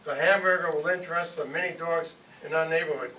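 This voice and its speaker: Man as high, bright, blustering suburban dad. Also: fairly even intonation, fast. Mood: scheming, excited. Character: blustering, scheming suburban father and husband. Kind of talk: old-time radio comedy acting on stage. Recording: echoey live stage from far off, faint noise.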